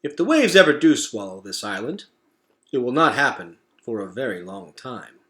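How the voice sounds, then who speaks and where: Man acting ancient turtle, slow and deliberate. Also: medium pitch, very animated, fairly bright tone. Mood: calm, knowing. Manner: slow, deliberate speech with weighty pauses. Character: ancient, wise old male turtle, grave and unhurried.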